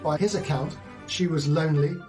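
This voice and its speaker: A man's voice: a little high, steady tone, normal speed. A man giving interview commentary as a royal commentator. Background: faint music.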